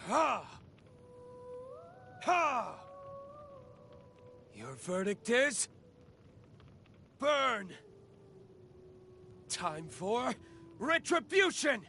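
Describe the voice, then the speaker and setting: Fierce young warrior, video game battle cries, high strained pitch, gravelly, vengeful. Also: male voice, very animated, drawn-out words, long pauses, bright, forceful tone, a little hiss or hum, full character voice. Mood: furious, battle-fierce. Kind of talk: video game combat barks.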